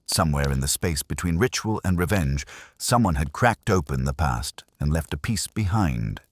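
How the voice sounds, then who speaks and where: Very deep-voiced man narrating a mystery. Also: lively intonation, normal speed, dry recording. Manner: wry, hardboiled. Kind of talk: first-person detective audiobook narration.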